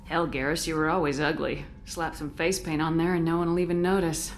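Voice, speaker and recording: An actress playing a tough, loyal military commander in a video game, speaking firmly and directly. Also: female voice, low-pitched, flat delivery, brisk. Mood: teasing. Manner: firm, direct. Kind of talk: video game dramatic acting.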